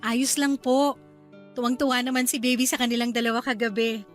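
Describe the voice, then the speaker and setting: A young Filipino wife and new mother acted by a woman in a radio drama. She is agreeable and dutiful, with a high voice. Soft music plays faintly behind her. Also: level tone, fairly quick, close to an everyday voice. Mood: polite, warm. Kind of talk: Tagalog radio drama acting.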